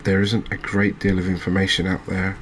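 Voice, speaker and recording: English guy doing soft asmr game commentary, low flat voice, slow and hesitant, bit of background noise. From England. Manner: hushed, unhurried, hesitant. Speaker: gaming video host.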